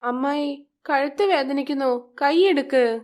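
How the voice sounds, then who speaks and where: A meek young expectant mother in a moral tale, played by a woman. Her voice is high, with a gentle, respectful way of addressing her elders. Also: unhurried. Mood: pained, pleading. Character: meek, polite young pregnant stepdaughter in a moral story.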